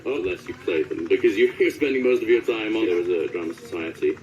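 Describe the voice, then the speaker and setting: Low-voiced, animated man in an interview. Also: fast talker, soft background music. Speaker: voice actor being interviewed. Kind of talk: casual interview chat.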